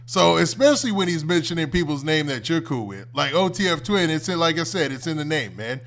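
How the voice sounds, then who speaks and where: Casting: male American livestream host, slightly high voice, colloquial and conversational reaction commentary. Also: lively intonation, fairly quick, fairly bright tone. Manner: conversational, colloquial, often ends phrases with "man". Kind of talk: casual off-the-cuff reaction commentary.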